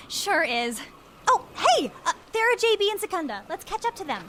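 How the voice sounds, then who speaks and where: An enthusiastic, oblivious young theater crew member in an audio drama. Her voice is high and very animated, breezy and earnest, with light outdoor noise behind it. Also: moderate pace, heavily acted. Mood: cheerful, oblivious.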